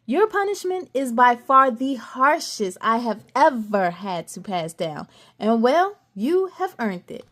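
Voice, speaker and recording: A woman's voice: a little high, big pitch swings, measured pace, fairly bright tone, exaggerated voice. A woman voicing a stern, regal fairy queen who speaks formally and commandingly in a read-aloud storybook. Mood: solemn.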